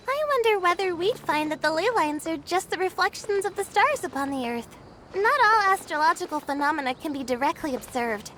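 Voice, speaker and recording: A woman voicing a young female student astrologer in a video game, with a soft, earnest delivery. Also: very high-pitched, slight background noise. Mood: confident, musing.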